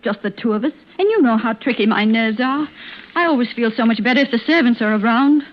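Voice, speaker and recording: An actress playing a sweet, nervous, gushing wife given to dramatics, in the style of 1940s radio drama. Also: female voice, a little high, lively intonation, brisk, close to an everyday voice. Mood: anxious. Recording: muffled, noisy vintage radio recording.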